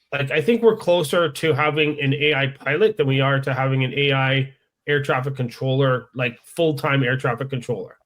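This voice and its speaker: A man's voice: medium pitch, fairly bright tone. A man co-hosting a podcast, chatting casually in an agreeable way as he offers and clarifies his views. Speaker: podcast co-host.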